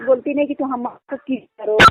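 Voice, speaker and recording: High-pitched woman on a muffled phone call. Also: steady tone, brisk, very noisy. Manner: insistent, repeatedly checks the listener understands. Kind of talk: casual private phone conversation.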